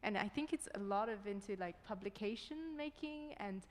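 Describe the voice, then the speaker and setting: A woman's voice: mid-range voice, animated, normal speed. A young woman with a mid-range voice hosts a casual interview. She feels her way through her phrases conversationally, and her voice rings with long reverb, as in a big hall. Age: young adult. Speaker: interviewer, event host.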